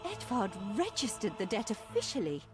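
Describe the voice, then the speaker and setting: An actress playing a loyal, wry family adviser in a video game, speaking in a practical way. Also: female voice, on the high side, huge pitch swings, normal speed. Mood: practical, wary. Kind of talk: video game cutscene acting. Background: music.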